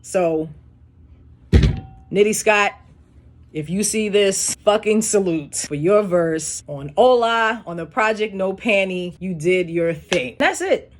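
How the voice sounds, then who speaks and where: A young adult woman with a deep, raspy voice, hosting a casual solo show where she breaks down rap lyrics with animated, profane emphasis. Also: takes it slowly, a little hiss or hum.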